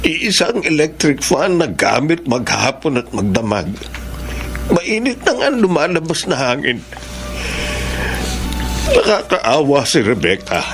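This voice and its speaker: A Filipino man acting in a radio drama as a weary, guilt-ridden middle-aged father, speaking in a sorrowful, halting, heartfelt way. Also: on the high side, sing-song, over music, full character voice. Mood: troubled, pitying.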